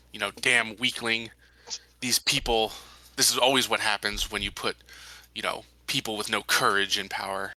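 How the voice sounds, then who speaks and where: Very bright, forceful low-voiced man. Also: no room sound, poor audio. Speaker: tabletop game player. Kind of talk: casual in-character roleplay discussion.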